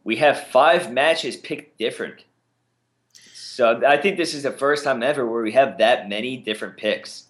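A man's voice: medium pitch, moderate pace. Casual man in his mid range, easygoing podcast co-host, talks in fits and starts. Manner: relaxed, hesitant with fillers. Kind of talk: casual wrestling podcast chat.

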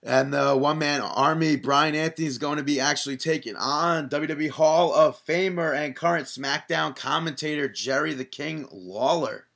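A man's voice: on the high side, normal speed, vocal fry, few pauses, a bit bright. A young man co-hosting a casual wrestling podcast, teasing his partner and chatting with short reactions and many fillers. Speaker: podcast co-host.

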